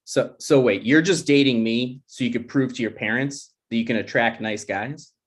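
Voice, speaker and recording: A man's voice: mid-range voice, normal speed, lightly acted. Man playing sensitive, jokey young boyfriend. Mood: casual, jokey. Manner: casual, self-deprecating. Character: Ryan, sensitive, jokey twenty-six-year-old boyfriend. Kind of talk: screenplay table read.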